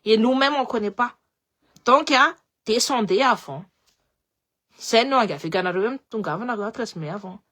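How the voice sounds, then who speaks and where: A woman with a slightly high voice and a light Malagasy accent talks loosely and with energy. She often repeats her words and restarts as her thoughts run on. The delivery is casual, like a live talk to followers. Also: unhurried. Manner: animated, repeats words, switches between languages. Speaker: political commentator.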